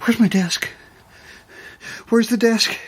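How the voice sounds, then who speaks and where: A woman with an Irish accent playing a frightened but commanding late-night radio host in a naturalistic horror audio drama, urgent and halting. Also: on the high side, fairly even intonation, very noisy. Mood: frantic. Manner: urgent, halting, gives orders.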